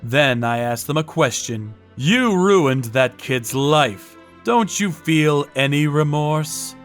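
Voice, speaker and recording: A man narrating a manga dub as a first-person story, with a measured, menacing, theatrical delivery. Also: on the high side, animated, faint music behind.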